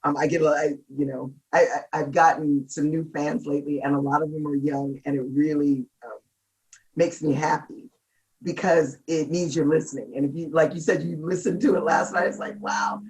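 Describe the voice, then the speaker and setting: A middle-aged woman from Seattle with a deep, gravelly voice, chatting as a singer in an interview and laughing often. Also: fairly even intonation, normal speed, a little mellow, poor audio. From Seattle, United States. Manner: chatty, laughs often, jokes about herself. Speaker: guest singer. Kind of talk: casual interview chat.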